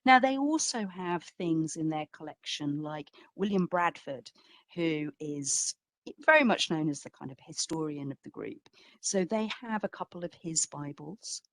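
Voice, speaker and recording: A woman's voice: sits low, lively intonation, a little slow, fairly bright tone, no echo. A woman speaking as an exhibition curator, answering informally and reflectively at a history talk, with conversational emphasis and frequent qualifications.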